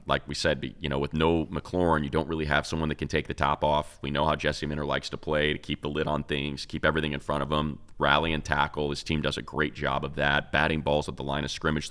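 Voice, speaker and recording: A man's voice: a bass voice, fairly bright tone, clear, resonant tone. An American man hosting a sports show, talking through analysis in a casual, emphatic, conversational way.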